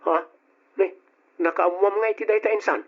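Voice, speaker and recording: Meek, put-upon young Ilocano nephew, slightly high voice, muffled radio drama. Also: male voice, fairly even intonation, normal speed. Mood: puzzled.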